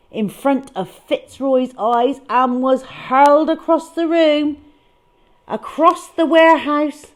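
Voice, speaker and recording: A woman storyteller reading aloud to children in a dramatic, expressive way, leaning on key words. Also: a little high.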